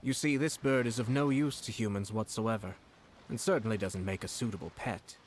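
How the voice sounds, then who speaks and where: A poised noble commissioner from a video game, voiced by a man, speaking in a lowish voice. His speech is measured and courteous, with a quietly sardonic edge. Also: slight background noise, natural voice. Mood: calm, cutting. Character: composed noble male commissioner, politely cutting. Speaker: game character.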